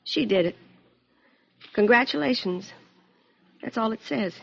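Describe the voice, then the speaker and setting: A brittle, defensive young wife in a radio drama. Her voice sits a little high and sounds strained with guilt. The recording is dull and lo-fi. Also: animated, measured pace, creaky, husky, exaggerated voice. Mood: accusing.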